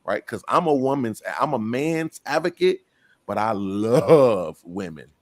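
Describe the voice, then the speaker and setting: A middle-aged man holding forth on a podcast panel, blunt and profane, preaching his opinions at length. His voice sits at an ordinary, mid-range pitch. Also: animated, a little slow.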